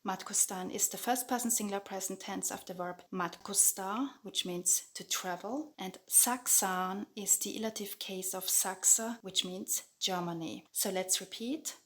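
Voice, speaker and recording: A woman teaching grammar in a calm, measured voice-over. Her pitch sits in the middle of the range. She speaks clearly and slowly repeats each example sentence. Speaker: Finnish language teacher. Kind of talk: scripted grammar lesson voice-over. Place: voice-over over lesson slides.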